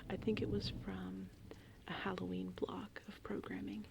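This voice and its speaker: A woman whispering in a casual, meandering, unhurried ASMR ramble, with a breathy, creaky voice. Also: mid-range voice, noisy background. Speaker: ASMR host. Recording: steady rain and thunderstorm ambience. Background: gentle rain and thunder.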